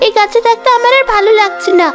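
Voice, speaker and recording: A woman voicing a small, plaintive ghost girl with a childlike, pleading manner in an animated horror story. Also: extremely high pitch, quick pace. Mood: restless, pleading. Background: music.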